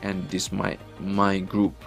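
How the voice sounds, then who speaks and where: A young man in his twenties with a Ukrainian accent talks in non-native English with a lowish voice. He is chatty and repetitive as he walks viewers through a screen recording. Faint music plays under him. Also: monotone, slow pace, creaky. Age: 20s. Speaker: online earning vlogger. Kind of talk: casual screen-recorded walkthrough.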